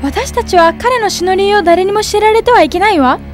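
A clever, loyal young servant girl, as a woman voices her in a storybook tale. She sounds calm and composed, with a very high voice over soft music. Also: fairly quick. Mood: resolute. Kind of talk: storybook voice acting.

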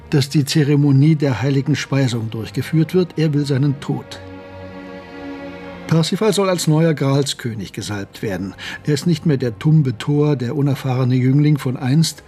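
An actor playing a reflective, betrayed older man in a radio drama, telling his story in a measured, literary way. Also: mid-range voice, dark tone, faint music behind, close to an everyday voice. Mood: solemn, rapt. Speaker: narrator and lead character. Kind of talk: German radio drama monologue and dialogue.

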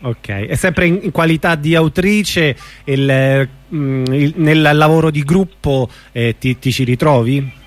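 Higher-voiced man, hesitant radio interviewer. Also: a little slow, dry recording. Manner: hesitant questioning, frequent short acknowledgements.

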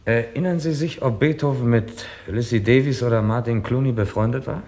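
A man playing a brisk, dryly authoritative police inspector in a 1960s German radio crime drama, questioning curtly and giving orders. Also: medium pitch, darkish tone, close to an everyday voice. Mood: commanding. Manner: curt, commanding questioning. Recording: 1960s radio play, pub chatter behind.

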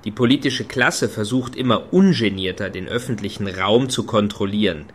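An actor playing a wry, playfully high-minded club activist in a radio play, dry and mock-solemn. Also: male voice, moderate pace, breathy edge. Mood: declamatory. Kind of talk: German radio play acting.

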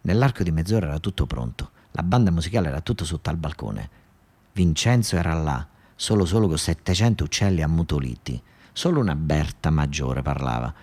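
A man's voice: extremely low pitch, lively intonation, brisk, darkish tone. A man with a southern Italian, Neapolitan-flavoured accent performing a theatrical monologue, telling the story in a dramatic, earthy way with repeated emphasis. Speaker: actor-storyteller. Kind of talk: theatrical monologue narration with dialect.

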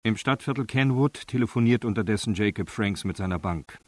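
A man's voice: fairly low pitch, brisk, darkish tone. A man narrating a radio play in a sober, reportorial manner, reading scripted prose.

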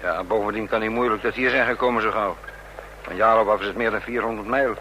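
An actor playing a stern plainclothes detective in a radio play, speaking in a curt, disapproving way. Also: male voice, on the low side, fairly even intonation, fast, sharp tone. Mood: watchful. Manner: curt, clipped. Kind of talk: radio drama acting. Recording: noisy old radio play, outdoor ambience.

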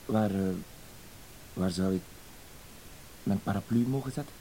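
A low, soft-toned voice with some hiss behind it, from an old radio play. A male actor plays a timid, self-deprecating man who hesitates and stammers. He is painfully polite yet quietly insistent. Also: unhurried, natural voice, poor audio. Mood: timid, apologetic.

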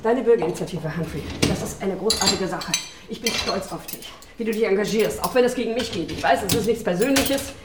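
A woman's voice: mid-range voice, fairly quick, hardly any pauses, distant mic, heavy background noise, natural voice. Middle-aged woman politician played by an actress, echoey. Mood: placating. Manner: placating, self-assured. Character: self-justifying middle-aged woman politician, mother of an activist. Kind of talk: German radio play acting. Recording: echoey room, off mic, loud clinking dishes.

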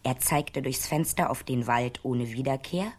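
A woman's voice: extremely low pitch, a little slow, a little mellow. A woman narrating a radio play as a wry storyteller, reading from a script.

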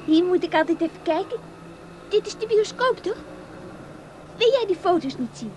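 An actress playing a curious, naive small child in a radio play, persistently asking questions. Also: female voice, very high voice, animated, normal speed, darkish tone, low-quality recording. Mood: curious, insistent. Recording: old radio recording with faint noise.

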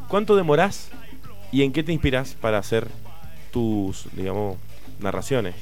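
A man's voice: medium pitch, sing-song, normal speed, soft background music. A man from Argentina, co-hosting a casual radio chat in a chatty, teasing way. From Mendoza, Argentina. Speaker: radio co-host.

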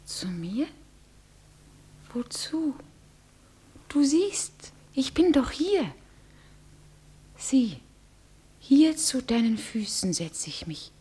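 A woman playing a fearful, devoted young bride, speaking verse in a breathy, often whispered voice. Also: medium pitch, warm tone, full character voice. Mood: distressed.

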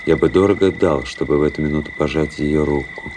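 Deep-voiced, brooding young officer. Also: male voice, noisy background. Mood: pensive. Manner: measured, introspective, ironic delivery. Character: cold, disillusioned young Russian officer, brooding and mocking. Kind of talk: literary radio drama monologue and dialogue. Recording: radio play with music behind. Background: music.

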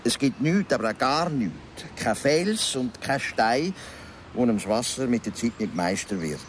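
A man's voice: medium pitch, animated, measured pace, lightly acted. An actor playing a charming, flirtatious man who likes to explain things, in natural Swiss German radio drama dialogue, with faint background noise. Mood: eager lecturing.

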